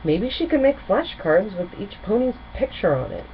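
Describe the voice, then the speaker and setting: A woman narrating a children's storybook aloud as a storyteller, with expressive delivery. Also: mid-range voice, moderate pace. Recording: muffled, with clear background noise.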